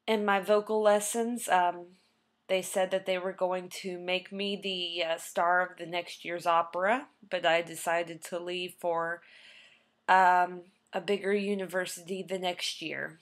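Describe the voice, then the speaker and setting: A woman's voice: on the low side, little pitch movement, a little slow. A young woman, a trained singer, talking casually about her background to a webcam, with plenty of ums and restarts. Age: young adult. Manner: rambling, many ums and restarts.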